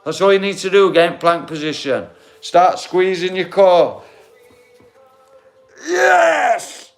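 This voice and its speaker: Man, forties, blunt and matey, swears a lot, slightly high voice, faint music behind. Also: animated, slow. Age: 40s. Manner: blunt, matey, swears, calls everyone mate. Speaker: livestream host. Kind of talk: casual livestream chat to viewers. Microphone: phone.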